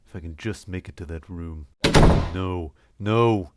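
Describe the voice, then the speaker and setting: World-weary noir detective, very low voice, terse wry narration for horror audio drama. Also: male voice, a little slow, heavy background noise. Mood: grim, tense. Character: hard-boiled, world-weary male detective narrating his own nightmare.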